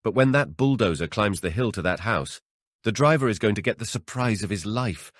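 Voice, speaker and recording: A man performs a gruff old country patriarch from a horror audiobook. He is ornery and paranoid, telling his tales with cranky emphasis. The sound is dry and close. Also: lowish voice, lively intonation, a little fast, dry recording, pitched above the performer's own voice. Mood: ominous, gloating. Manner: cranky, emphatic, swearing storyteller. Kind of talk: dramatic audiobook character voice.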